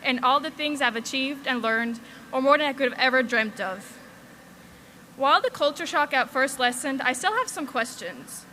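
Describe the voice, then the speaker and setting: Young woman from Switzerland, valedictorian, earnest and wry, high and bright. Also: lively intonation, moderate pace, slight background noise. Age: young adult. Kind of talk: graduation speech.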